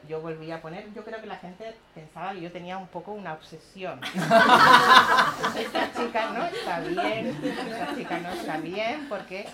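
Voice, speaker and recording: A middle-aged woman from Chile with a Chilean accent, speaking at a community talk and telling lively stories about her neighborhood projects. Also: mid-range voice, unhurried, a loud crowd. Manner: animated storytelling, laughing often, acting out voices of others. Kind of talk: public talk with audience questions. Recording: echoey room, some background noise.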